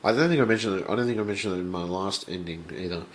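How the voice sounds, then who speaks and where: A man with blocked sinuses, talking off camera at a home workbench in a rambling, halting way that breaks into swearing when he is frustrated. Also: deep voice, a little hiss or hum. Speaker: channel host. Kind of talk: casual vlog.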